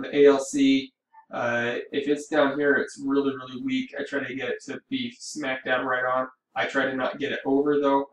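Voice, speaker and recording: A man giving a casual, explanatory software walkthrough as a hobby radio operator, thinking aloud as he goes. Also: mid-range voice. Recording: roomy, distant mic with background noise.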